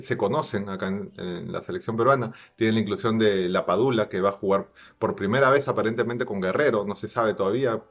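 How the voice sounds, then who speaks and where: A man with a Peruvian accent giving informal football analysis to camera, measured and a little hesitant, repeating phrases. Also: lowish voice. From Peru. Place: plain indoor room. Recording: dull lo-fi sound. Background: music.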